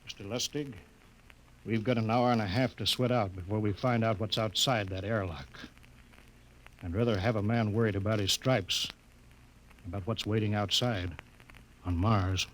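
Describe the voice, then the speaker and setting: A man's voice: on the low side, steady tone, normal speed, long pauses, natural voice. Male actor as stern spaceship captain, hissy recording. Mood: measured, firm. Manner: clipped, authoritative. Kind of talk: 1950s radio drama acting. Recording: noisy 1950s radio recording.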